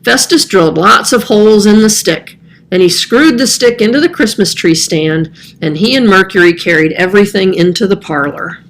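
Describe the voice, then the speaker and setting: A woman's voice: mid-range voice, moderate pace. A woman reading a children's story aloud as scripted narration. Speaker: storybook reader.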